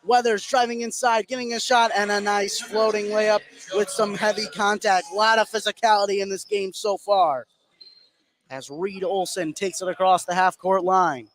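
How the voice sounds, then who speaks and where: A man doing excitable live play-by-play of high school basketball in short running phrases. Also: normal speed. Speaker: play-by-play sports commentator.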